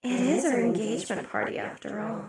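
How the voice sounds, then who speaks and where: A woman voicing a possessive, imperious demon queen in an ASMR roleplay, speaking slowly and sinking into soft whispers. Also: fairly high pitch, close to an everyday voice. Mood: silky, possessive. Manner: slow, velvety, dips into whispers. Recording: loud party crowd under the voice. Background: crowd chatter of a party.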